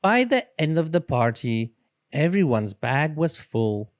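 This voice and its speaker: Children's story narrator, slow deliberate pace, very lively pitch, dramatic delivery, dry close sound. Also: no echo. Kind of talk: expressive read-aloud narration.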